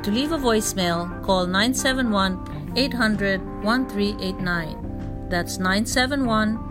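A woman's voice: medium pitch, measured pace. An older woman reading out a promo announcement, carefully spelling out a phone number. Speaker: host's mother reading a promo. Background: guitar music.

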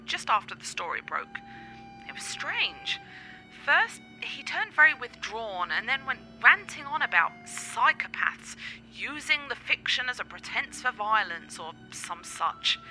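Actress doing witty arch girlfriend in a radio mystery, super bright forceful voice, really swoops around, music underneath. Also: fairly high pitch, exaggerated voice, low-quality recording. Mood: puzzled. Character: witty, theatrical, teasing sweetheart with a dark streak. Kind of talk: radio play acting.

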